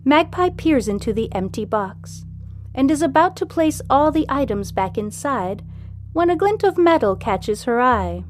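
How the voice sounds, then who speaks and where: A woman with a fairly high voice reads a scripted story as a podcast host. Faint music plays behind her narration.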